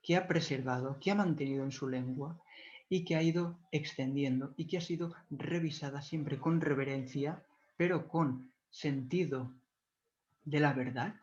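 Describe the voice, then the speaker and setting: Catalan-native male lecturer, slightly high-pitched, reverent and careful, muffled lo-fi audio. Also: a little mellow. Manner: measured, devout, corrects himself often. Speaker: video lecturer. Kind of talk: explanatory talk on church history. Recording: dull lo-fi recording.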